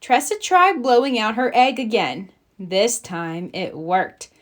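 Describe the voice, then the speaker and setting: A woman's voice: a little high, big pitch swings, takes it slowly. A woman narrating a scripted children's story as a read-aloud.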